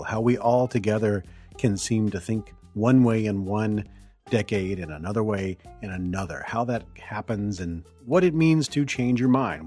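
A man hosting a podcast, talking alone in a hoarse voice as he gets over the flu, rambling and correcting himself as he goes. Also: lowish voice, darkish tone. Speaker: podcast host. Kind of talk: solo podcast monologue.

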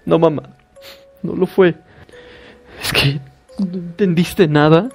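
Tipo poniendo voz de muchacha aterrada, tartamudea y ruega, cuento de terror grabado con música suave. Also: male voice, fairly high pitch, steady tone, takes it slowly, husky, faint music behind, heavily acted. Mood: panicked. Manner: panicked, stammering, pleading. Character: frightened young woman, a daughter.

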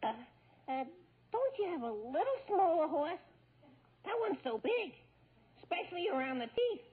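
A nervous, reluctant teenage boy with a very high voice. He whines and wisecracks drolly as a radio comedy sidekick. The sound is a band-limited 1940s broadcast. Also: sing-song, moderate pace. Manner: whiny, droll complaining. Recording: muffled vintage 1940s radio recording.

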